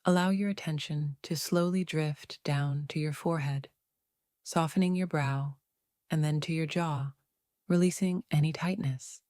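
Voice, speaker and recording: A woman narrating a guided meditation in a soothing, unhurried way, leaving long pauses between phrases. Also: low voice, fairly even intonation, mellow, no room sound, clean studio sound.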